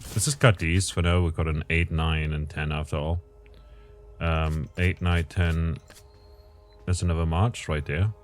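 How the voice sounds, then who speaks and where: A man's voice: very deep voice, fairly even intonation, unhurried, soft background music. A man giving casual gameplay commentary, thinking aloud with self-corrections, his voice strained lately.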